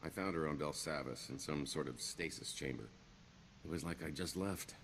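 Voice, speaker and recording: An actor playing a roguish, glib smuggler and con artist in a video game, with a wry, theatrical delivery. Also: male voice, extremely low pitch, normal speed, fairly bright tone, a little hiss or hum. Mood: wistful, resigned. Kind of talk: video game character dialogue.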